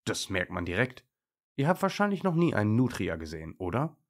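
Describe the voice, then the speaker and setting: A man's voice: on the low side, very animated, a little fast. A man voicing a cheerful, chatty male nutria who explains things in a friendly way in an animal story.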